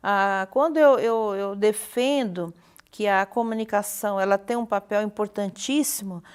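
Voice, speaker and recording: A woman with a Brazilian Portuguese accent answering as an academic guest in an interview, explaining in halting phrases with repeated words and checking tags. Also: mid-range voice, clear, resonant tone. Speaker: university professor and communication researcher, interview guest.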